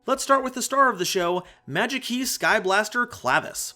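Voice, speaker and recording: A man's voice: high-pitched, moderate pace. A man giving a playful, emphatic scripted explainer as a video host. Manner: animated, playful, emphatic delivery. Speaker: host of a card game analysis video. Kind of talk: scripted explainer narration with jokes. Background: background music.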